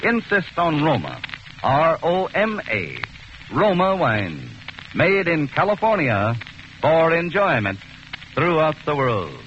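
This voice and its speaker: A man reading a 1940s radio commercial as the announcer, smooth and emphatic. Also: on the high side, very animated, slow, fairly bright tone, slight background noise. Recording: muffled old radio broadcast.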